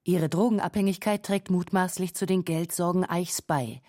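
Dark, low-voiced female documentary narrator. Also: normal speed, no room sound, studio quality. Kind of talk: scripted radio documentary narration.

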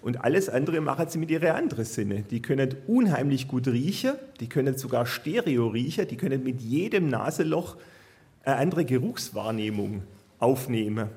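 Male zoologist guest. Medium pitch, informal, matter-of-fact explanations pitched at children. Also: darkish tone.

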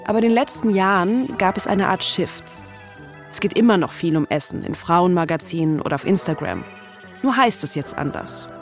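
A woman's voice: on the low side, animated, normal speed, soft background music. A woman in her thirties narrating a scripted documentary podcast as its host. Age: 30s.

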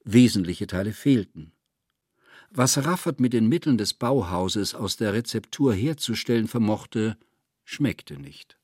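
A man narrating literary prose from a script in a measured, precise manner. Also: lowish voice, expressive, darkish tone, slightly rough, no echo, clean studio sound.

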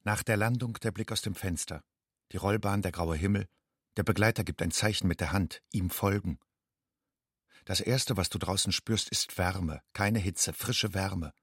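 An actor playing a haunted, watchful refugee man in a radio drama monologue, husky, speaking in measured, stark fragments. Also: low voice, a bit bright, dry recording, clean studio sound, exaggerated voice. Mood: grave, intense.

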